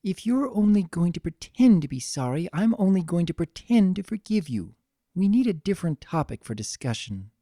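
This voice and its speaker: A reader voicing a gentle but firm aging female pony in a fan fiction reading, earnest in manner. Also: medium pitch, big pitch swings, normal speed, no echo, clean studio sound, pitched above the performer's own voice. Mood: frosty, firm.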